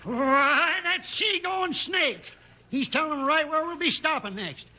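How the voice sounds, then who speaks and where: High-voiced, blustery riverboat captain. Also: male voice, lively intonation, unhurried, bright, forceful tone, heavily acted. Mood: indignant. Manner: blustery, exclaiming. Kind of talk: 1950s radio western acting. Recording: noisy 1950s radio recording.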